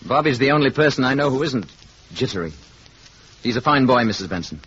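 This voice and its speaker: An actor playing a calm, courteous alien man passing as a mild lodger in a 1950s radio play. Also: medium pitch, lightly acted. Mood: polite, friendly. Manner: polite, measured wording, gently assured. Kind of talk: 1950s radio drama acting. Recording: dull, noisy old radio recording.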